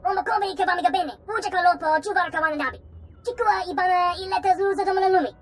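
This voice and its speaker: A woman's voice: extremely high pitch, racing. A woman voicing a small, eager alien creature in a video game, chattering away in an invented tongue.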